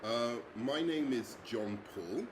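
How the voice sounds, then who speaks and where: A man's voice: medium pitch, takes it slowly. A young English man with a London accent, introducing himself as an English teacher, with frequent ums and uhs. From England. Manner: hesitant, many ums and uhs. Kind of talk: self-introduction video. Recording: echoey room with noise.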